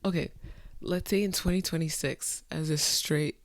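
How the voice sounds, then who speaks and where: A woman talking to her camera late at night, incredulous and exasperated, swinging between soft muttering and sudden loud outbursts. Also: low voice, fairly even intonation, moderate pace, no echo. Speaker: vlogger. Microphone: phone or camera close up.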